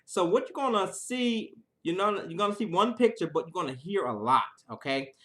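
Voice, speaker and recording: A man's voice: high voice, animated, normal speed, a bit bright. A man, a theater teacher, gives an informal introduction to an audience in an enthusiastic, conversational way, often checking in with his listeners. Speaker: high school theater teacher introducing a show.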